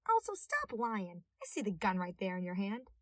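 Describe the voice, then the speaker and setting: A woman's voice: mid-range voice, huge pitch swings, moderate pace, dry recording, studio quality. A woman voicing a brash, foul-mouthed tall policewoman who is exasperated and scolding, in a story. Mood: defensive, scolding.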